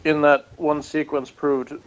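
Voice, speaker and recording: A man's voice: fairly high pitch, flat delivery, unhurried, rough recording. A man co-hosting a casual review chat, reading jokey notes aloud in a dry, wry way.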